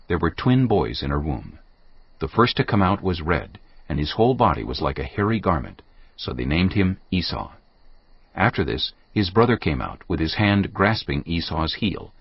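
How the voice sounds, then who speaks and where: Deep, husky male Bible narrator. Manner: measured, storytelling. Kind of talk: scripted dramatized Bible narration. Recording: dull audio, faint music.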